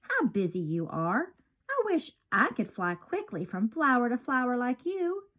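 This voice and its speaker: A woman voicing a small, fuzzy male caterpillar who wishes he were someone else, in a gentle storybook manner. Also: a little high, big pitch swings, a little slow, heavily acted. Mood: wistful.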